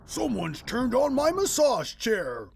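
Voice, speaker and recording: A woman's voice: a little high, wildly swooping pitch, slow, gravelly, a little mellow, a little hiss or hum, voice pushed up, heavily acted. A woman voicing an indignant, bellowing father panda in a storybook reading.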